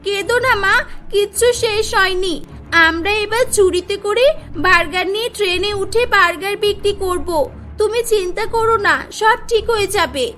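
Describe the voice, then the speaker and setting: A woman's voice: very high-pitched, measured pace, pushed and bright, soft background music, full character voice. A woman voicing an innocent, sweet and earnest little girl in a cartoon story. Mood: comforting. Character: innocent, clever little girl, loving daughter.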